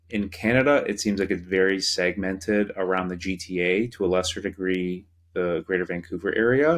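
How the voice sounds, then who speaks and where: Low-voiced casual podcast host, a man. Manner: casual, questioning. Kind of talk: podcast interview.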